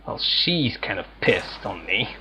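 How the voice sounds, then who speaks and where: A man's voice: lowish voice, very animated, measured pace, sharp tone. Casual man doing game commentary, slight noise. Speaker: gaming streamer. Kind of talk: casual let's-play commentary.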